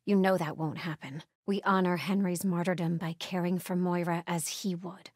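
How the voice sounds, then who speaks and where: A devout female cult sentry from a video game. Her voice is lowish and husky, solemn and watchful, with measured, pious phrasing and a dry, close sound. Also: steady tone, no room sound. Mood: solemn vigilance. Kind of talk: video game ambient dialogue.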